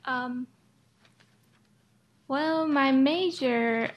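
A nineteen-year-old woman from Afghanistan, a student panelist, speaking in a shy, halting way. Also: high voice, highs cut off. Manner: shy, halting, with soft laughs.

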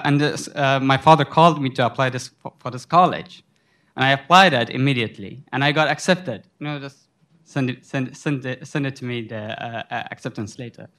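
A man's voice: medium pitch, fairly bright tone. A young man from Afghanistan, a student on a panel, telling a long rambling story full of restarts. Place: college library.

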